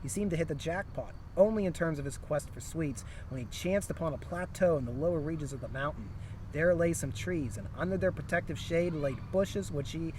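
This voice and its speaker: A man's voice: on the high side, brisk, a little mellow, rough recording. A man reading a humorous story aloud as a co-reader, with casual asides, out in the open. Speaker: co-reader in a story read-along. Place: outdoors near a historic town site. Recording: outdoor recording with passing vehicle noise.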